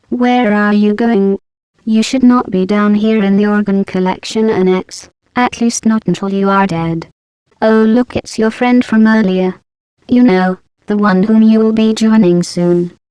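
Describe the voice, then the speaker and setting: Game AI, female, played by a woman: smooth, crisp, dry studio sound, deadpan and formal, icily sarcastic. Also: medium pitch, dry recording, lightly acted, poor audio. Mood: passive-aggressive. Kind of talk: scripted video game voice lines.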